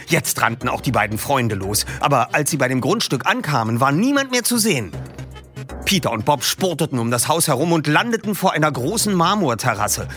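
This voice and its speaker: A man's voice: on the high side, sing-song, fairly quick, a bit bright, soft background music. A man reading a book passage aloud as narrator, telling the story expressively.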